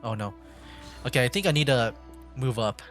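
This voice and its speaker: A man's voice: medium pitch, moderate pace, a bit bright. Casual male gamer over faint music. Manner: thinking aloud, mild exclamations. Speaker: streamer, VTuber gamer. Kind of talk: casual live gameplay commentary. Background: faint game music.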